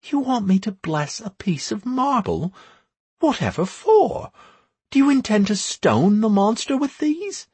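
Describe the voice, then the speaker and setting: Man voicing a high-pitched, questioning young-sounding priestess. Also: very animated, a little slow, darkish tone, husky, dry recording, exaggerated voice. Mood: incredulous. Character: former high priestess, adult woman, bewildered, questioning.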